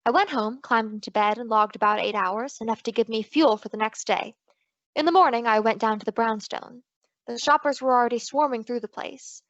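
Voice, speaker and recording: A woman's voice: on the high side, a little fast, dry recording, lo-fi sound, lightly acted. A woman playing a wisecracking female private detective who narrates her case in slangy old-time radio style. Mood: wry storytelling. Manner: wry, slangy hard-boiled storytelling.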